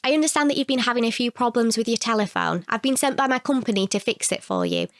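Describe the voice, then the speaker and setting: Woman playing a calm, friendly telephone repairman. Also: a little high, quick pace, dry recording, clean studio sound, voice pushed up. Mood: polite. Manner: patient, explanatory. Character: calm, polite, friendly repairman, confident in his work.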